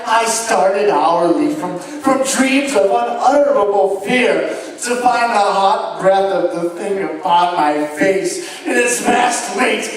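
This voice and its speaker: A man playing a haunted, unhinged murderer confessing his crime in a halting, theatrical dramatic stage reading of gothic horror. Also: sits high. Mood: anguished. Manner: halting, theatrical, swinging from hushed to agitated. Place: live show at an amusement park, far from microphone. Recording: very echoey, distant live recording, faint noise.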